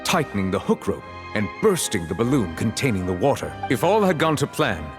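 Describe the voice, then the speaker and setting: An actor playing a solemn, formal chief justice presiding over a trial in a video game, speaking in a measured, courteous way. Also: male voice, fairly low pitch, big pitch swings, over music. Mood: solemn recounting.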